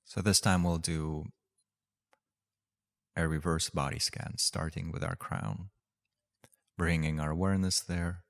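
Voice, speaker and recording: A man leading a guided meditation as a teacher, speaking gently and unhurriedly with long pauses. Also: deep voice, steady tone, creaky.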